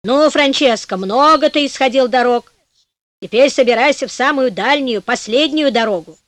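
A woman's voice: high voice, normal speed, no room sound, close to an everyday voice. Woman voicing grumbling ancient Death. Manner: grumbling, scolding. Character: old grumbling female Death, creaky and bossy.

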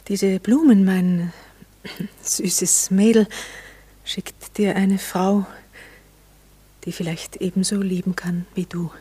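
An actress playing a wistful married society lady in a German radio drama, urgent and insistent with hushed longing. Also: medium pitch, husky.

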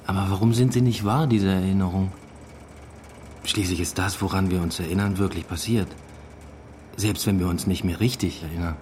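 An actor playing a reflective academic who narrates his own life in a measured, understated way in a radio drama. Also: deep voice, fairly even intonation, a little mellow, slight background noise, lightly acted. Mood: questioning. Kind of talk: first-person radio drama narration with dialogue.

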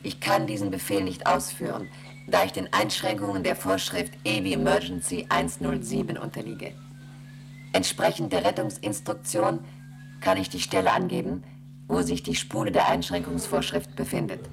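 A woman voicing a cold, rule-bound station computer in a radio play, reciting rules and figures in a flat, mechanical way. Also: extremely low pitch, normal speed, gravelly, a crowd in the background, low-quality recording.

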